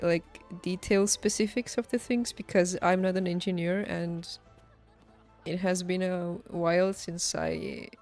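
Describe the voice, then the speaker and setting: Slow-paced woman chatting on stream. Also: lowish voice. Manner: halting, thinking aloud, laughs easily. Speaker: game streamer. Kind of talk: casual livestream chat over gameplay. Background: game music.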